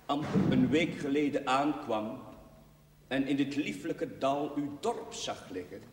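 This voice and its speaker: An actor playing an earnest young chaplain in a television drama, preaching in solemn, measured, declamatory phrases. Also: male voice, mid-range voice, lively intonation, darkish tone, a little hiss or hum, lightly acted. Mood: solemn, reverent. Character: earnest young newly arrived chaplain preaching to his parish. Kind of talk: solemn rhetorical sermon, television drama acting. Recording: echoey church, distant from the microphone.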